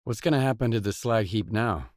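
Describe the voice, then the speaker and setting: An actor playing a gruff, guarded, hard-bitten mercenary in a video game, speaking in terse, blunt, businesslike lines. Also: male voice, on the low side, fairly quick, a little mellow, dry recording, clean studio sound, natural voice. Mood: inquisitive, guarded.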